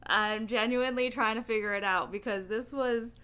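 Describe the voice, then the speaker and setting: Woman, book vlogger, bright voice, animated and emphatic, casual chatty delivery. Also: on the high side, moderate pace, clean tone. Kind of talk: casual book chat to camera.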